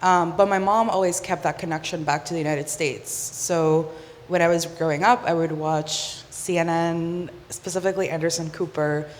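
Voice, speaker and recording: A woman's voice: lowish voice, steady tone, normal speed, in a large hall, slight background noise. A woman from the Dominican Republic, a guest on a radio interview, talking earnestly with many "um"s. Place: community radio studio.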